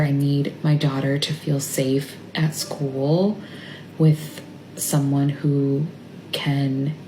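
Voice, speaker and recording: A mother speaking in a halting, tearful confessional, often trailing off and dropping to a whisper. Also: deep voice, a little mellow. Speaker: mother sharing a personal update. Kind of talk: emotional confessional monologue. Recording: echoey room with faint ambient noise.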